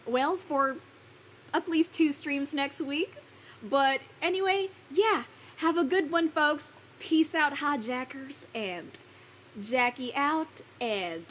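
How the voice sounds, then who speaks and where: A woman's voice: high voice, bright, forceful tone, slight background noise. A woman streaming live, chatting loosely with her viewers, laughing often, swearing casually and fumbling her lines. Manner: giggly, rambling, swears casually. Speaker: virtual streamer.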